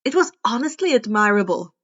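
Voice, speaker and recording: A woman narrates a scripted story. Her voice sits very high for a woman. The recording is a touch dull and lo-fi. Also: big pitch swings, brisk, a bit bright. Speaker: podfic narrator.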